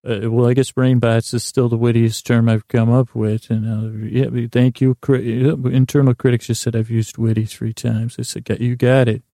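A man hosting a bedtime podcast in a meandering, halting, gently silly and self-deprecating monologue that drifts into tangents. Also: mid-range voice, little pitch movement, creaky, no echo, studio quality. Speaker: podcast host.

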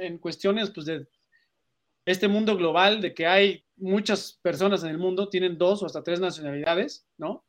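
Chatty Mexican man, higher voice. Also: a bit bright. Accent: Mexican Spanish. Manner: chatty, thinks aloud with many tag questions. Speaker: podcast host. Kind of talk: sports podcast discussion.

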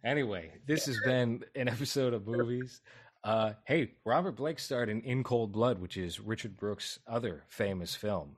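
A man's voice: medium pitch, moderate pace. A man hosting a casual film podcast, giving sardonic, film-buff recommendations. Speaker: podcast host.

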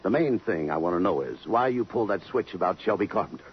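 An actor playing a tough, terse police lieutenant who is quietly smitten, blunt and clipped, in a 1950s radio drama. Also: male voice, low voice, animated, fast talker, slight background noise. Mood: probing, blunt. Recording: dull lo-fi 1950s radio recording.

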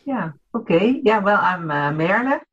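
Woman, 50s, Dutch accent, podcast guest, halting with fillers, dull lo-fi sound. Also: mid-range voice, expressive, slightly rough. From Netherlands. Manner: hesitant, many fillers and restarts. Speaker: guest, cooperative chairwoman. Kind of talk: podcast interview. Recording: dull lo-fi audio.

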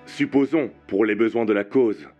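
A man plays a solemn trial judge in a radio play, with a lowish voice. He addresses the accused slowly and formally. Music sits faintly under the dull, lo-fi sound. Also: natural voice. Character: stern male trial judge delivering judgment. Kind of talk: radio drama acting.

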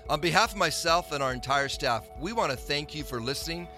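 Bright-voiced older pastor hosting. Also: male voice, a little high, expressive, brisk, big-room sound, faint music behind. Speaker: pastor and podcast host. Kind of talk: church welcome and introduction.

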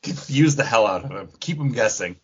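A man with a husky voice and lively, swinging intonation chats casually as a podcast co-host. He teases and jokes, and the recording sounds a little dull. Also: lowish voice, a little fast. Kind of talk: casual chat. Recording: dull lo-fi audio.

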